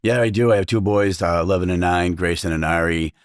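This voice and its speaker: A middle-aged man with a Boston accent and a low voice talks fast, filling his sentences with "you know" and "uh" as an interview guest. The recording is dry and close. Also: no echo. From Massachusetts, south of Boston. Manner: fast-talking, lots of fillers. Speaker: restaurant owner, guest. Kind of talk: casual interview chat.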